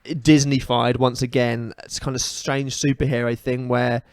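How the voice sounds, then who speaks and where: A man with a mid-pitched voice co-hosts a casual podcast. He gives his opinions with emphasis and conviction. The recording is close and dry, with no room echo. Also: little pitch movement, measured pace. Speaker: podcast co-host. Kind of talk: casual opinionated podcast chat about pop culture.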